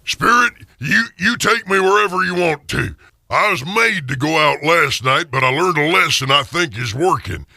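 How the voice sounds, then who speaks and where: A man's voice: on the high side, animated, normal speed, gravelly, bright, forceful tone, no echo, clean studio sound, voice pushed up, full character voice. A man playing a frightened, repentant old miser in a radio drama, pleading and hesitant. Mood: humbled, curious. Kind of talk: radio theater acting.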